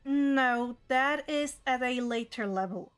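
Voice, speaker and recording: High-voiced woman running a tabletop game. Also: slow, clear, resonant tone. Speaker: game master. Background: background music.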